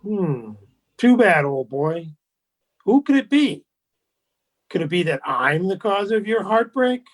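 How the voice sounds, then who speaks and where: Guy playing a sly smug old-chap gentleman, slow drawl, swoopy pitch, fake polite radio drama. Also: big pitch swings, exaggerated voice. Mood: smug, mock sympathy. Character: suave, sly, insincere gentleman, teasing and smug. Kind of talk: radio play acting.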